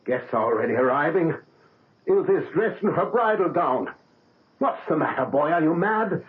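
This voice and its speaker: An actor playing an older male doctor who speaks in a calm, fatherly, reasoning way in a 1970s radio drama. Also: a little high, moderate pace, lightly acted. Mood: alarmed. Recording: narrow old radio broadcast.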